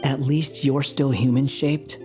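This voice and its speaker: An aloof young man from a game, voiced by an actor, talks in a cool, dry manner. He sounds matter-of-fact, and music is clearly heard behind him. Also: medium pitch, steady tone, a little slow, natural voice. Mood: teasing. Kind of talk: video game voice acting.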